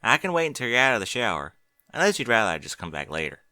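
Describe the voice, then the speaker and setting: A man's voice: medium pitch, sing-song, quick pace, pushed and bright, no room sound, studio quality. A man voicing a friendly, down-to-earth country pony mare with an easygoing drawl.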